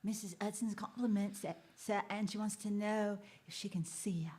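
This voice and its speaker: Earnest, halting young errand boy played by a woman. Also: medium pitch, fairly even intonation, warm tone, slightly rough, natural voice. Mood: polite. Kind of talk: radio drama acting.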